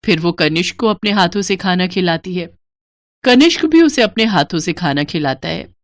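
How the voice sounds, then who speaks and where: Woman, scripted story narration, lowish pitch, very varied intonation, dry close recording. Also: animated, moderate pace, dry recording.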